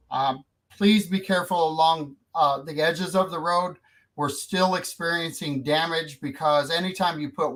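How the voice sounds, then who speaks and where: A man's voice: a little high, a bit bright. A man hosting a community radio show, talking in a folksy, casual way with plenty of fillers and restarts. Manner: folksy, repetitive, many fillers. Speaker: radio host.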